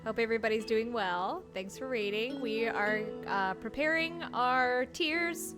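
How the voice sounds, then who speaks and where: A woman chatting casually on a live game stream. Her voice is fairly high, friendly and expressive as she reacts, with game music clearly audible behind her. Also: unhurried.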